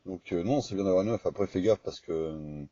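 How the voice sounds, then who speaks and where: Very deep-voiced man chatting over radio. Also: dark tone. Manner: halting, informal, slangy. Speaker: amateur radio operator. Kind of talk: casual radio contact chat. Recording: dull, echoey two-way radio recording.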